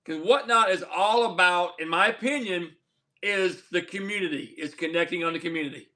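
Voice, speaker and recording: A man's voice: high-pitched, moderate pace, sharp tone. A man hosting a casual livestream chat, rambling and repeating himself as he wraps up. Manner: rambling, repeats words, laughs often. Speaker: livestream host.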